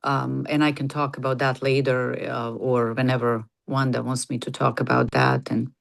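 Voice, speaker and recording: Middle-aged woman, native Bosnian speaker, low and clear voice, conversational podcast guest. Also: level tone, normal speed. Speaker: podcast guest, nurse practitioner and clinic founder. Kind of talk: casual interview talk.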